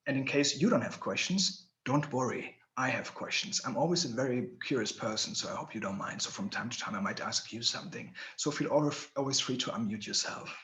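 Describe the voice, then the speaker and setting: A man's voice: mid-range voice, expressive, fairly quick, few pauses, a bit bright. A man from Germany, speaking English as a while hosting a live online tour over a video call, chatty and friendly with many fillers. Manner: friendly, chatty, slightly non-native phrasing with fillers. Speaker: tour guide and host.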